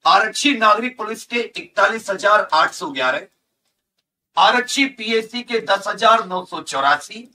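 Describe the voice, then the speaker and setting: Man, high sharp voice, emphatic instructional delivery, repetitive, slightly distant from the mic. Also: animated, a little slow, a little hiss or hum. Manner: emphatic, repeats figures and points for the students. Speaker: online coaching teacher. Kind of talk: instructional lesson explaining job vacancy notices. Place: indoor teaching studio with large digital board.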